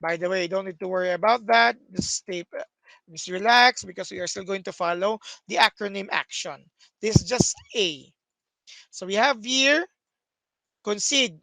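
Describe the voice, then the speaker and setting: A man's voice: sits high, moderate pace, creaky, bright, forceful tone, no room sound, low-quality recording. A young man teaching a math lesson over a screen recording, explaining step by step with many "okay"s, recorded at a desk.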